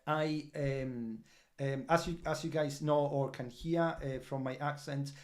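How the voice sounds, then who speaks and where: A man who is not a native English speaker hosts a crypto project livestream update, answering viewer comments in an earnest, halting way with many fillers and restarts. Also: fairly high pitch, steady tone. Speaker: crypto project team member hosting an update.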